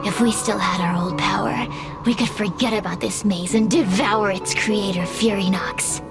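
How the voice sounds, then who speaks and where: A woman's voice: mid-range voice, fairly even intonation, moderate pace, gravelly, over music, lightly acted. A woman voicing a sly female spirit in a video game, languid, teasing and condescending, savouring the emotions of others. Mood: scornful, wistful. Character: sly, gloating female fire spirit who feeds on emotions. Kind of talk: video game cutscene acting.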